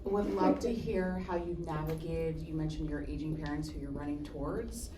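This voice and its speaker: A woman's voice: sits low, moderate pace. A woman in the audience politely asking the speaker a question at a live event. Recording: echoey room, loud handheld-mic noise.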